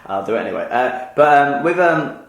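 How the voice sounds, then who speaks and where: A man's voice: mid-range voice, sing-song, brisk, vocal fry. A man hosting a podcast in casual chat, rambling with many fillers and restarts and laughing easily. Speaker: podcast host. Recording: echoey room.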